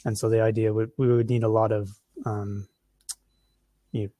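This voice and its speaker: A man's voice: on the low side, little pitch movement, moderate pace, dark tone, no echo. A man with an American accent answering audience questions after a conference talk, with lots of ums and restarts. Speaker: conference presenter.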